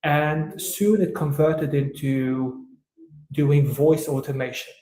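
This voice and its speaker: A man from Romania, an entrepreneur guest in an interview, who answers in a thoughtful, hesitant way with many "uh" pauses. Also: on the high side, fairly even intonation, warm tone, roomy sound, poor audio.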